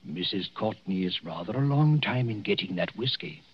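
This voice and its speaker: An actor playing a sardonic, ominous doctor with a formal, deliberate delivery in 1940s radio suspense. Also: male voice, lowish voice, animated, breathy edge. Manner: deliberate, formal, dryly ironic. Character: sardonic, ominous, theatrical bearded male doctor. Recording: noisy muffled 1940s radio recording.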